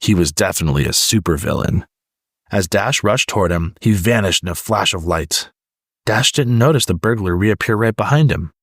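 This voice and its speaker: A man's voice: lowish voice, expressive, moderate pace, dry recording, studio quality. A man narrating a children's storybook as a read-aloud. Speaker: storybook reader.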